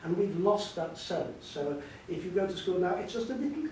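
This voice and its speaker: A man's voice: high voice, sing-song, moderate pace, a little mellow. An older man, a scholar being interviewed, explains at length in a discursive, emphatic way, repeating words for stress. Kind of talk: extended spoken explanation at a book event. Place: indoor event hall. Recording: echoey hall, distant mic, faint noise.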